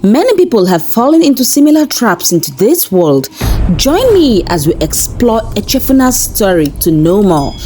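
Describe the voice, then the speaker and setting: A woman narrating a scripted folktale in a dramatic, expressive storytelling manner. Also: fairly high pitch, moderate pace. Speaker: storyteller, channel host. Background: background music.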